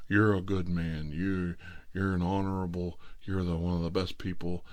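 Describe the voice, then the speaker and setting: A man's voice: sits low, level tone, moderate pace, a little mellow, pitched below the performer's own voice, lightly acted. A man voicing a cheerful, oblivious old father on holiday, an entitled tourist with a gushing, rambling manner. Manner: gushing, rambling about his ailments.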